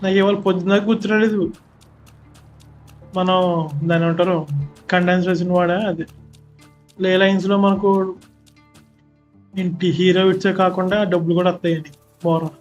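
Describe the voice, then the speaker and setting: A male streamer who rattles off commentary at a very fast clip, his voice sitting fairly high for a man. He chats and jokes to himself while playing. Faint game music plays behind him. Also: flat delivery. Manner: chatty, joking, self-talking. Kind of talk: casual live gameplay commentary.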